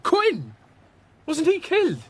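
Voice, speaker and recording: An actor playing a proud, excitable young gentleman adventurer in a radio drama. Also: extremely high pitch, slow pace, heavily acted. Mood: shocked. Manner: haughty, excitable.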